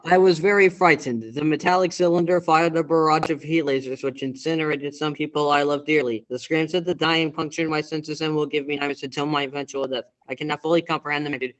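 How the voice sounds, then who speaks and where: A man's voice: a little high, fairly even intonation, quick pace, hardly any pauses, a bit bright, natural voice, poor audio. A man playing an earnest, shaken male witness in a school radio play.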